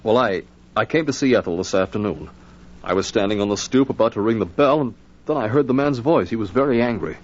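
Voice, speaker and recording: A man's voice: on the low side, fairly quick, close to an everyday voice. A man playing a shaken, evasive husband in an old-time radio mystery, speaking nervously with stammered, repeated words. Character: shaken, evasive husband feigning innocence, male. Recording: muffled old radio recording.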